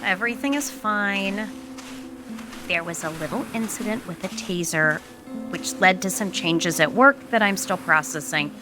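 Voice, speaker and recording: A woman's voice: mid-range voice, a little slow, over music, close to an everyday voice. An actress playing a stressed, indignant professional woman, venting in a wry, dramatic way in an audio soap opera.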